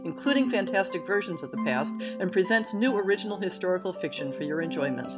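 A woman hosting a podcast, reading scripted announcements in an articulate, measured way with emphatic stresses. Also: lowish voice, lively intonation, over loud music. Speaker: podcast host.

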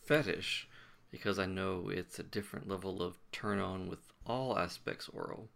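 A man co-hosting a podcast in casual chat, halting and trailing off mid-thought. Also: deep voice, creaky, a bit bright. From American South. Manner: hesitant, trails off mid-sentence. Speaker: podcast co-host.

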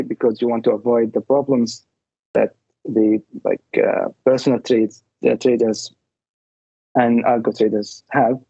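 A man's voice: mid-range voice, creaky, warm tone, husky, no echo, highs cut off. A man, a tech co-founder on a podcast, explaining matter-of-factly. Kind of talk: podcast interview answer.

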